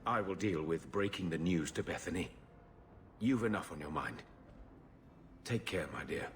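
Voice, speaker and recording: An actor playing a blunt, grieving middle-aged uncle in a video game, gruff and bitter. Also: male voice, deep voice, animated, normal speed, slight background noise. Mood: weary sorrow. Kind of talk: dramatic video game acting.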